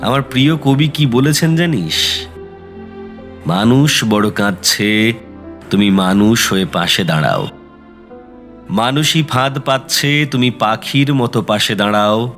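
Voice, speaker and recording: A man plays a selfless middle-aged bachelor from the neighbourhood in an audio story. His voice sits at a medium pitch, colloquial and easygoing, with an earnest streak. Soft music runs behind him. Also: takes it slowly, long pauses. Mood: tender, reflective. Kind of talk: Bengali audio drama acting, colloquial. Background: background music.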